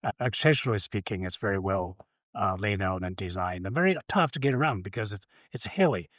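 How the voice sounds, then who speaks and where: A man from China speaks from his home office as an interview guest. He answers haltingly, repeating words and filling pauses. The call audio is dry and a little dull. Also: lowish voice, lively intonation, fairly bright tone, dry recording. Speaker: guest, entrepreneur.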